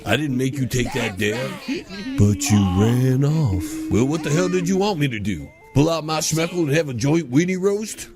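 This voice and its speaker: A man's voice: medium pitch, animated, normal speed, music in the background, natural voice. A man playing an irritable middle-aged cartoon ringleader who bickers in a sarcastic, folksy way. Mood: defensive.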